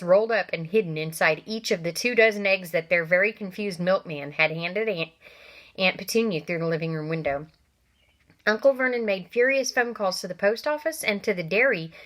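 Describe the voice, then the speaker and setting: A woman reading a children's novel aloud, with animated character voices and chatty asides to young listeners. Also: lowish voice. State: coughing from allergies. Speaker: reader.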